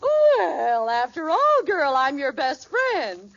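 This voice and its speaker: An actress playing a gushing, gossipy society matron with an affected, effusive manner in a 1940s radio sitcom on an old recording. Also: female voice, high voice, huge pitch swings, takes it slowly, no room sound, lo-fi sound, exaggerated voice. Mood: breezy.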